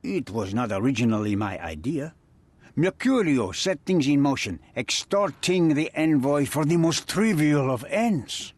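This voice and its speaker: Play it as a menacing crime boss. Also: male voice, a little high, animated, darkish tone, dry recording. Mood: smug. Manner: cold, threatening, measured. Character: menacing male crime boss, smuggler and cutthroat. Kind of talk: video game cutscene dialogue.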